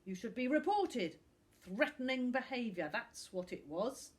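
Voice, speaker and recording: A woman's voice: a little high, lively intonation, unhurried, pitched above the performer's own voice. A woman voicing a firm, kind young footballer who stands up to bullies in a storybook.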